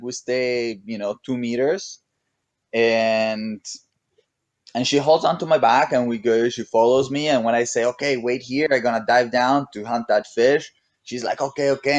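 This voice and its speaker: A man with a Mexican accent chatting casually as an interview guest, relaxed and rambling, with many restarts and "you know"s. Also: mid-range voice, no room sound. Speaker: guest, pro paddle racer.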